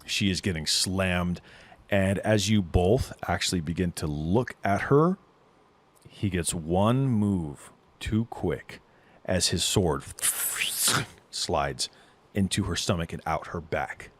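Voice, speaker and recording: A man's voice: sits low, takes it slowly. A man running a tabletop game, improvising dramatic, descriptive narration as game master.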